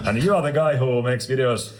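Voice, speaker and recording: A man's voice: mid-range voice, lively intonation, moderate pace. A man with a Finnish accent vlogging casually outdoors in English, playful and self-mocking, laughing often. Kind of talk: casual vlog chat. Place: outdoors by the water.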